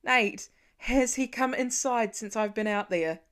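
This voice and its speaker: A woman's voice: a little high, unhurried, fairly bright tone. A protective father in his middle years, acted out by a woman narrator. He talks in wry asides and urgent calls, as a storybook character devoted to his family. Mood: frantic. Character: protective middle-aged father, gruff then frantic with worry.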